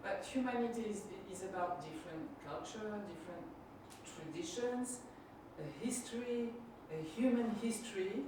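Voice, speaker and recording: A woman's voice: mid-range voice, animated, moderate pace. A European-born woman interviewer, hesitant and restarting often, who politely challenges the speaker. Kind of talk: conversational interview questions. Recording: very echoey room, distant from mic, noisy.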